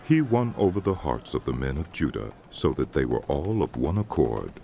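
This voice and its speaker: A man narrating a dramatized Bible as a scripted, measured storyteller. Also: very deep voice, very animated, gravelly, warm tone, a little hiss or hum.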